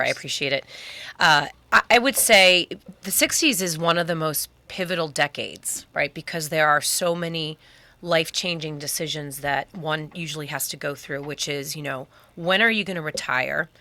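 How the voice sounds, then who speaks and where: A woman's voice: low-pitched, pushed and bright, no echo. A woman with an American accent speaking conversationally as an expert guest in a studio, getting over a sickness. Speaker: guest wealth advisor.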